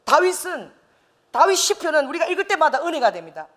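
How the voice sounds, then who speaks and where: Woman pastor, expressive preacher with a swinging, lively pitch and a storyteller's laugh. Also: fast. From Busan, South Korea. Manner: animated storytelling, laughing often. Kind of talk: sermon.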